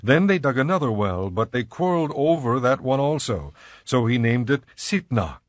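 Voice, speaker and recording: Dry, measured male scripture narrator. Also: mid-range voice, very animated, dry recording.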